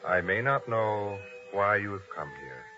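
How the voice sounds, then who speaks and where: Earnest devout believer, old radio drama. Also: male voice, fairly low pitch, expressive, unhurried. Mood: gentle, solemn. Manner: earnest, reverent, pleading. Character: devout, earnest man of Bethlehem guarding a holy stable. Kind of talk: 1950s radio drama acting. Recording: muffled vintage radio drama recording, music behind.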